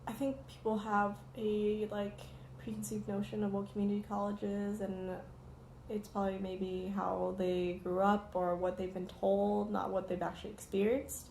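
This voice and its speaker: A young woman in her twenties, a student, describing her path through college in a measured, thoughtful way. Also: mid-range voice, level tone, creaky, a little mellow, reverberant, slight background noise, low-quality recording. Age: 20s. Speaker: student interviewee. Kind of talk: casual reflective talk.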